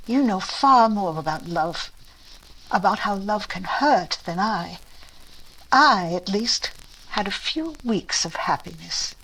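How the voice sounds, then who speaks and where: A woman playing a gentle, heartbroken young Regency sister in a 1940s-style radio drama, sincere and plaintive. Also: medium pitch, full character voice, rough recording. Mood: tender, regretful. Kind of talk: recreated 1940s radio play acting.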